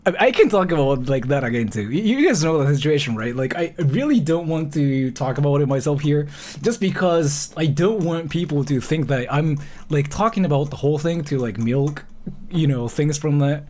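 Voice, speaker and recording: A man's voice: on the high side, animated, a little mellow. A man in his thirties chatting casually as a livestream host, rambling and often correcting himself mid-thought. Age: 30s. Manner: rambling, halting, self-correcting, peppered with filler. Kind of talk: casual livestream chat and reaction commentary.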